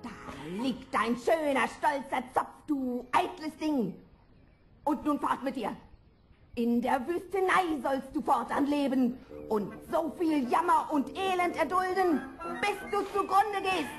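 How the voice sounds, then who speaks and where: An actress playing a cruel, gloating old sorceress in a fairy-tale audio drama, with a venomous, mocking delivery. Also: female voice, high voice, a little slow, music in the background. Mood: furious gloating.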